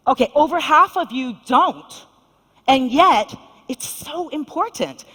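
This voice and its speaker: Middle-aged female panel moderator, upbeat, talks straight to the crowd; higher voice, reverberant hall. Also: a bit bright. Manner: upbeat, addresses audience directly. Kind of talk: conference panel introduction. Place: conference hall stage. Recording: long reverb of a large hall.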